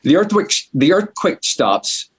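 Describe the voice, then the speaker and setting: A man's voice: fairly high pitch, sing-song, a little slow, breathy edge. A man reading screenplay stage directions as the narrator at a table read.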